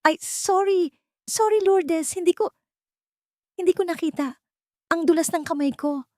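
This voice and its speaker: A woman's voice: very high voice, unhurried, darkish tone, no room sound, studio quality, pitched above the performer's own voice. A woman voicing a fragile, apologetic wife feigning helplessness in an audiobook drama, sounding flustered.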